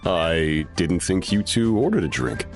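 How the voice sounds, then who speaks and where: A man's voice: deep voice, animated, measured pace. A man playing an easygoing, absent-minded restaurant chef in a video game, affable and a little puzzled. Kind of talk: animated video game dialogue. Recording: music clearly behind the voice.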